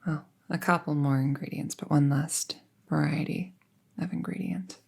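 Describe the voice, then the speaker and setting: A woman playing a friendly apprentice witch in a roleplay audio, speaking softly and breathily, often near a whisper, with an unhurried, casual murmur. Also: deep voice, steady tone, gravelly, slightly rough, full character voice. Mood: casual, musing. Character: friendly young apprentice witch, soft-spoken and casually chatty. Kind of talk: roleplay audio drama, soft ASMR-like delivery.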